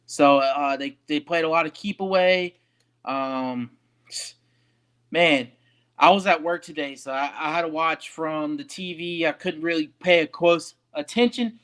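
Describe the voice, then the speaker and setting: A man's voice: fairly high pitch, measured pace, a bit bright, smooth. A young man hosting a sports podcast alone, giving a casual post-game recap with frequent ums and uhs.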